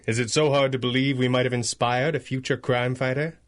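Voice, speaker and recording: A man playing a calm, wry, gentlemanly masked hero in a 1930s-style radio serial, dry and understated. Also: mid-range voice, fairly quick, close to an everyday voice.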